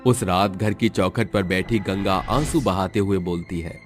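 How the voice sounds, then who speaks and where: A man's voice: deep voice, measured pace. A man reading scripted, dramatic storytelling narration. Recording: music under the voice.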